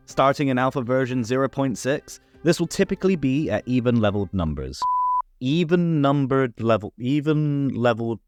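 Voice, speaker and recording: Guy doing a tabletop rules explainer, really animated delivery, bit of music behind him. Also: mid-range voice. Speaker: host of a tabletop game tutorial series. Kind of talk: scripted explainer narration.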